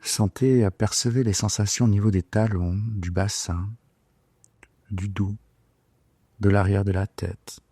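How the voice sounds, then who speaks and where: A man leading a guided sleep meditation in a soothing, unhurried, hesitant way with long pauses. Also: fairly low pitch, steady tone, breathy edge, no room sound. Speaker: yoga nidra guide. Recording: soft guitar music behind the voice. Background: gentle plucked guitar music.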